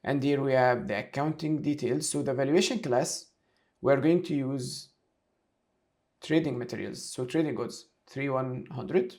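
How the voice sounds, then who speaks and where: A man giving a step-by-step software tutorial, talking through each action aloud as an instructor. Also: medium pitch, unhurried. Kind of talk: screen-share tutorial, explaining step by step.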